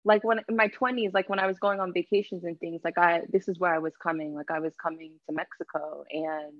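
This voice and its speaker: Low-voiced woman, casual and rambling. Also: steady tone, quick pace, no room sound, highs cut off. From Jamaica-born, raised in Yonkers and Mount Vernon, New York. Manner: informal, rambling, loops back on phrases. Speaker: podcast guest, travel writer. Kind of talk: casual, chatty interview.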